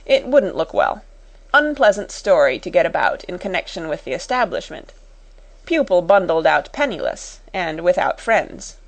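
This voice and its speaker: A woman's voice: on the low side, very animated, moderate pace. A woman voicing a shrewd, unruffled, faintly sinister businessman in a storybook, dry, clipped and coolly polite.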